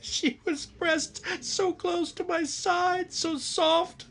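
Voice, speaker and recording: A man acts an anxious young fiancé in a vintage radio horror play. His voice has a gravelly creak, and his delivery is halting, lovesick and melodramatic. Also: very high-pitched, fairly even intonation, fairly bright tone, full character voice. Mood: grief-stricken. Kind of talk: 1930s radio horror drama acting.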